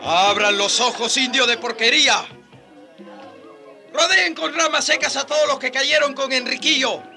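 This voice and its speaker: A shrill, snarling colonial commander. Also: male voice, normal speed, vocal fry, pushed and bright, exaggerated voice. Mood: menacing, merciless. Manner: barking orders, menacing. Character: cruel, domineering colonial commander, contemptuous and vengeful. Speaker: actor. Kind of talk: radio drama villain acting. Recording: radio drama, music and faint crowd.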